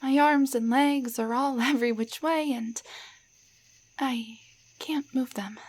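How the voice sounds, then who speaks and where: Soft breathy, hesitant doll girl acted by a woman. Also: high voice, fairly even intonation. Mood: grateful, relieved. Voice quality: soft, breathy, often near-whisper. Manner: hesitant, halting, stammering. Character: shy, grateful young tavern waitress turned into tiny doll. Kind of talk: roleplay audio drama, intimate one-sided dialogue.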